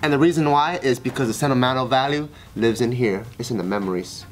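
A man's voice: medium pitch, fast talker. A man in his thirties giving blunt, profane comic opinions at a desk in a small office. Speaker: panel commentator. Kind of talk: casual comedic banter. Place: small office room, indoors. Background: music.

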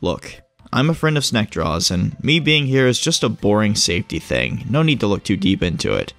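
A man's voice: lowish voice, sing-song, normal speed, a bit bright, no echo, soft background music. An actor playing a bored, easygoing male minder with an offhand, rambling manner in a horror audio drama. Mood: flippant. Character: bored, flippant male babysitter-bodyguard, easygoing oddball.

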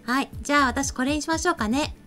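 A woman's voice: high voice, fast talker, bright, forceful tone, soft background music. A polite young woman co-hosting a show, moving between casual chat and program announcements at a desk mic in a small studio. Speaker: show co-host. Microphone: desk microphone.

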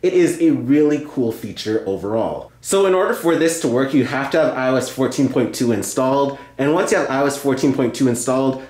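A man's voice: mid-range voice, a little mellow. A man hosting a tech video, narrating a hands-on tutorial step by step and often repeating himself. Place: indoor room at a table. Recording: roomy, echoey indoor sound.

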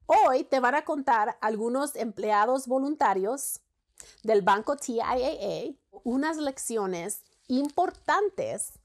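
A woman speaks to camera as a spokesperson. Her voice is fairly high, and she delivers a prepared welcome. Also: lively intonation, slow pace. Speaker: bank spokesperson. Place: home office, indoors.

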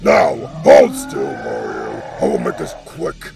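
A man voicing a huge, bullying turtle-dragon king in a cartoon fan dub, with a gravelly growl and blustering, menacing delivery. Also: a bass voice, wildly swooping pitch, takes it slowly, full character voice. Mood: gloating.

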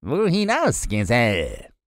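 Man putting on a high, sly devil huntsman. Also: huge pitch swings, takes it slowly, no room sound, studio quality. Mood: sly, taunting. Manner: taunting, tempting. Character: sly devil disguised as a big green-coated huntsman.

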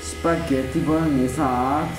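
A woman's voice: very deep voice, steady tone, a little slow, noisy background. A woman performing a formal, officious waitress in a dramatic music theatre piece. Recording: echoey, with music behind.

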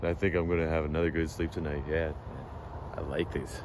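A man in his thirties talking casually to a handheld camera about his travels, chatty and relaxed, full of fillers. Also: very deep voice, fairly quick, noisy background. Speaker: travel vlogger. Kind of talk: casual vlog talk to camera. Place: inside an SUV and outdoors by a coastal beach. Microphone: handheld phone or camera at arm's length.

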